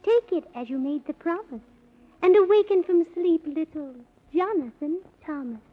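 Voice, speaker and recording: A woman playing a gentle, solemn enchanted rose, reciting rhymes in a 1930s children's radio serial. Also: very high voice, expressive, moderate pace, narrow, tinny audio. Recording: band-limited vintage 1930s radio recording.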